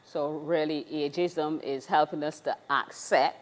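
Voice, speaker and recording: A woman's voice: low voice, steady tone, measured pace. A woman with a Barbadian accent hosting a casual interview, recorded with a long, hall-like reverb. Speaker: interviewer, host.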